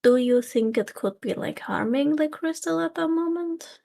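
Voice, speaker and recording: A woman's voice: high voice, animated, moderate pace, gravelly, dark tone. A woman playing a tabletop role-playing game, chatting casually with the others.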